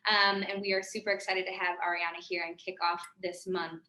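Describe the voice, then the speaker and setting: Bright, chatty woman host. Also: lowish voice, level tone, brisk. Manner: upbeat, chatty, frequent fillers. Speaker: event host introducing the speaker. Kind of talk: casual introduction. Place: classroom in a coworking space. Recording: echoey room.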